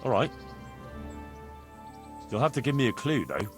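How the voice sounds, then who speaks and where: An actor playing a good-natured young medieval blacksmith's son in love, earnest and playfully gallant, in video game dialogue. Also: male voice, mid-range voice, big pitch swings, measured pace, faint music behind. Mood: easygoing. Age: young adult.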